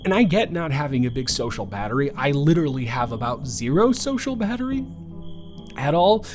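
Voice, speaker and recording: A man's voice: fairly high pitch, sing-song, a little fast, faint music behind. A young man playing a nervous, earnest, sweetly awkward college student in a romantic audio roleplay, stammering and rambling with emphatic repetition. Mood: admiring, earnest.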